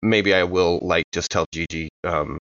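Deep-voiced man playing a tabletop game. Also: steady tone, unhurried, fairly bright tone, no echo, muffled recording. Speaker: tabletop game player on a podcast. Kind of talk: casual improvised role-play chat.

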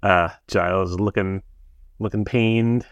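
Guy cohosting a podcast, low gravelly voice, kinda laid back, dry mic. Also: fairly even intonation, takes it slowly, no echo, dull audio. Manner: easygoing, recaps with fillers. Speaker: podcast co-host. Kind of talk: casual chat.

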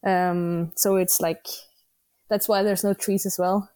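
A young woman from Greenland, a native Danish speaker, answering interview questions in English with many pauses and restarts. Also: mid-range voice, little pitch movement, dark tone, clean tone, no echo. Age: young adult. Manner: hesitant, many fillers and restarts. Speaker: guest athlete. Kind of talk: casual interview.

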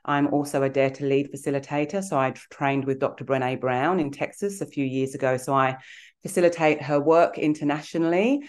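A woman's voice: sits low, fairly quick. A woman in her forties with an English accent tinged with Australian, speaking earnestly and thoughtfully as an interview guest. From England. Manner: thoughtful, earnest, with soft fillers. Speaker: guest, executive coach. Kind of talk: reflective interview talk.

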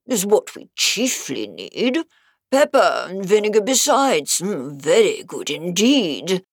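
An oily old walrus out of a storybook, acted by a woman, speaks very slowly and grandly. The voice is husky and swoops widely, with a theatrical, mock-genteel air. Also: medium pitch, big pitch swings, dry recording, studio quality, heavily acted. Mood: greedy, smug. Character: pompous, slow, oily old male walrus. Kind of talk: storybook verse character reading.

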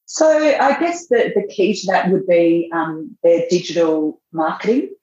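A woman, a magazine editor answering interview questions in a measured way, thinking aloud as she goes. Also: lowish voice, animated, darkish tone, a little hiss or hum. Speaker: fashion magazine editor, guest. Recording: roomy, dull lo-fi sound.

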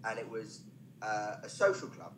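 A man's voice: on the low side, lively intonation, moderate pace, a bit bright, a little hiss or hum. A man speaking as a podcast guest, a stand-up comedian telling anecdotes conversationally with self-deprecating, wry asides.

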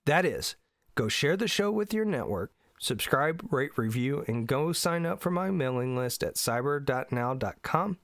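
A man's voice: medium pitch, lively intonation, a little slow, fairly bright tone, no echo, clean studio sound. A middle-aged man from Indiana, congested from allergies, hosting a solo podcast in an earnest, emphatic way. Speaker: podcast host.

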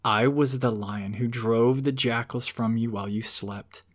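A man voicing a huge lion with a large, deep, quiet voice, calm and solemn. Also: close to an everyday voice. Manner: slow, hushed, solemn.

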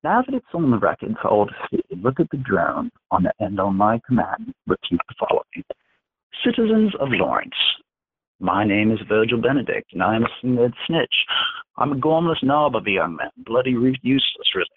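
A man's voice: medium pitch, sing-song, moderate pace, husky, no echo, voice pushed up. A man voicing a cruel telepathic male crime boss, speaking in a mocking, commanding way. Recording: tinny phone line.

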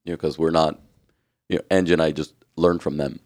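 A hoarse man with a raspy voice, co-hosting a podcast in earnest, reflective casual chat. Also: a bass voice, flat delivery, fairly quick, a little mellow. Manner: earnest, reflective, restarts often. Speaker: podcast co-host.

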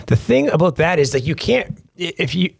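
A man's voice: high voice, big pitch swings, fairly quick, creaky, husky, no echo, studio quality. A Canadian man in his thirties, a comedian and former country singer, chatting loosely and joking in an interview. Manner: jokey, rambling, laughs often. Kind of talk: casual interview chat.

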